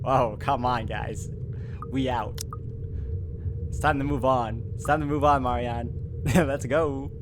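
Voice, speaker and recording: A man hosting a game playthrough, reading the narration dramatically and dropping in chatty asides. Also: medium pitch. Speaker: video game playthrough host. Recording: clearly noisy background.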